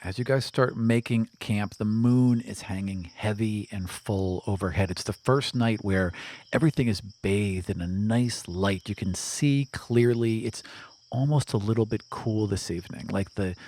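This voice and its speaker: A man's voice: on the low side, moderate pace, a little mellow. A man narrating a tabletop game as game master in a descriptive, conversational way, with night crickets behind him. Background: crickets and night insect ambience.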